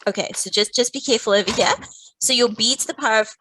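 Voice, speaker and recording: A woman's voice: on the high side, fast, fairly bright tone, slight background noise, low-quality recording. A woman teaching a live online maths lesson, playful and teasing, walking through each step.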